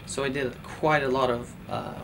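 A man's voice: mid-range voice, steady tone, normal speed, vocal fry, background noise. A man in his thirties, from Iran, speaking earnestly in an interview as a postdoctoral researcher, with many fillers and restarts, recorded in a lab office. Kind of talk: documentary interview.

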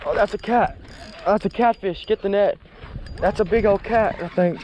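A young man, excitedly vlogging while fishing from a bridge over a river. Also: sits high, moderate pace, background noise. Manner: excitable, repeats exclamations. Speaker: fishing channel host.

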